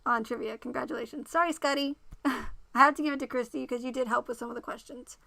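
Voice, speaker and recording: A woman hosting a casual livestream, talking straight to her viewers by name and repeating herself, her voice going raspy. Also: high voice, steady tone, quick pace, bright, forceful tone, no room sound. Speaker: livestream host.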